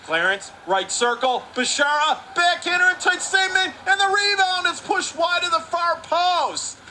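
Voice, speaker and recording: A man in a broadcast booth calling a hockey game play by play, with rapid, clipped calls that climb higher on shots and saves. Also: animated, bright, forceful tone, a little hiss or hum. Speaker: radio play-by-play announcer. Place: broadcast booth above an ice rink.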